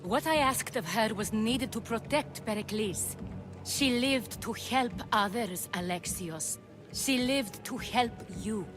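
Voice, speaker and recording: A woman's voice: on the high side, slow, over music. An actress playing a composed, proud noblewoman of ancient Greece in a video game, speaking earnestly and persuasively. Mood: solemn. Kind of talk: dramatic video game acting.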